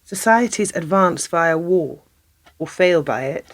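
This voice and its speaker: A woman's voice: on the low side, expressive, measured pace, slight background noise. An actress playing an inquisitive, sceptical female typist in a radio play, pressing for answers. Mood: probing, sceptical. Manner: inquisitive, pressing with short questions. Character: questioning, sceptical female typist drawn into secrecy.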